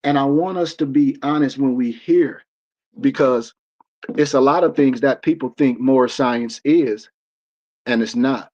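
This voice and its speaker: A man's voice: medium pitch, unhurried, darkish tone. An American man in his forties hosting a livestream discussion, talking in a preachy, emphatic way with many restarts. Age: 40s. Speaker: discussion host. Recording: dull lo-fi stream audio.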